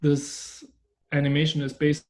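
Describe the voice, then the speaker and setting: A man whose first language is German, an artist speaking thoughtfully in an interview, pausing often with fillers. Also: fairly high pitch, completely flat delivery. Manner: thoughtful, hesitant with many fillers. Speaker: artist guest. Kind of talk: conversational interview.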